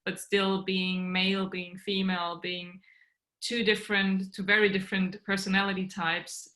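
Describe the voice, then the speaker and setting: A woman's voice: mid-range voice, little pitch movement, normal speed, sharp tone. A young woman from Austria, a startup co-founder earnestly explaining her work over a video call. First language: German. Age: young adult. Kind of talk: podcast interview answers. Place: small bedroom at home.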